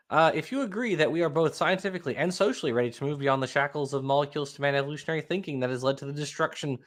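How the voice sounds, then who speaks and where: A man with a Southern accent hosting a casual panel chat, reading out posts and answering them with wry sarcasm. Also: fairly high pitch. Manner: wry, sarcastic, laughs often. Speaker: host.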